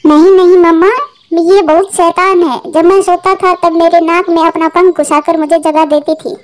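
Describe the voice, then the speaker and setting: A woman's voice: very high-pitched, fairly even intonation, moderate pace. A woman playing a childish, complaining little baby bird in a Hindi cartoon. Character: little baby bird, whiny, hungry, tattling.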